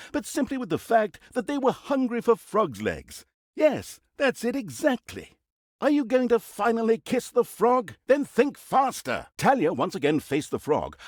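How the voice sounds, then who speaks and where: Wildly expressive, sarcastic storyteller. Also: male voice, fairly high pitch, husky, no echo, studio quality, exaggerated voice. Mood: exasperated, scolding. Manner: wry, mock-grandiose, emphatic. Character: sarcastic, easily exasperated male fantasy storyteller mocking evil. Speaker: video game narrator. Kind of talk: theatrical comic storybook narration.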